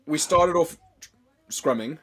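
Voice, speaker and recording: Hoarse young South African male podcast host, excitable and rambling. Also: fairly high pitch, slow, low-quality recording. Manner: excitable, rambling, many fillers. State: hoarse from a chest infection. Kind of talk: casual podcast chat.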